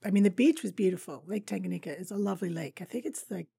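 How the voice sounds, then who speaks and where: A woman in her fifties with a raspy voice, hosting a podcast and chatting casually through a travel story, laughing often. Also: mid-range voice, fast, warm tone, no echo, studio quality. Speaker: podcast host.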